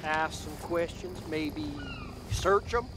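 A man's voice: fairly high pitch, slow, very noisy. A man playing a curious squad soldier with a questioning manner in a war video game. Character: curious, slow-spoken male squad soldier.

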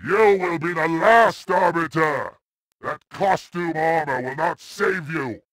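A man's voice: a little high, slow pace, no echo. An actor voicing a savage, boastful alien brute warrior who taunts and threatens his prey in game combat lines. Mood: taunting. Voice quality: gravelly, growling menace. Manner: taunting barks and shouted threats.

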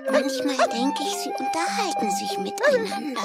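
High-voiced, eager young girl. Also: huge pitch swings, normal speed, over loud music, exaggerated voice. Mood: worried, wondering. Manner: wondering, childlike. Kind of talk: radio play acting for children.

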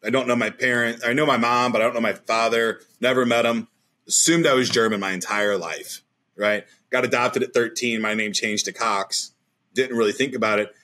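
Bright-voiced middle-aged man, rambling. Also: medium pitch, steady tone, normal speed. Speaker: podcast guest. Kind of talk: casual interview talk. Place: home room with shelves, video call.

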